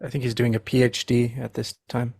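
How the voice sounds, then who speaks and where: A man speaking as a podcast guest in casual conversation, halting and repeating words, with a self-deprecating manner. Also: mid-range voice, monotone, gravelly, a little mellow, breathy edge, no room sound, clean studio sound. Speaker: podcast guest, literary translator.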